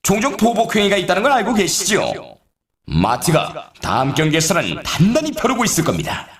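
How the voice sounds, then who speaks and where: High-pitched, excitable race announcer. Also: male voice, a little fast, a crowd in the background, exaggerated voice. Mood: teasing, warning. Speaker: sports game announcer. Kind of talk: scripted race commentary.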